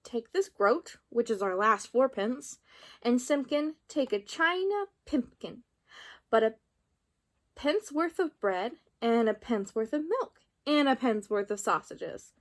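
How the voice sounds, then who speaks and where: A woman's voice: a little high, lively intonation, slow pace. A woman voicing a poor, weary old male tailor who mutters fretfully in old-fashioned words, in a storybook reading. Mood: weary, pleading.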